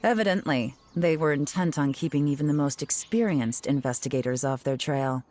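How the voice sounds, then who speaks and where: A woman's voice: sits low, a little fast, a little mellow, slight background noise, close to an everyday voice. A woman voicing a composed, confident investigator and expert tracker in a video game, with assured, lightly teasing delivery. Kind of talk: scripted video game dialogue. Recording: game music behind the voice.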